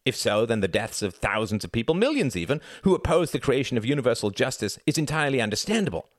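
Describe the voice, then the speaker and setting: Casting: male narrator as condescending young intellectual in an audiobook, argumentative, raised pitch, very lively swings, dry close sound. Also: fast talker, no echo. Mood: heated, haughty. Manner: emphatic, rhetorical, condescending, lecturing. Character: argumentative young son, haughty intellectual defending communism. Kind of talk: dramatised audiobook reading.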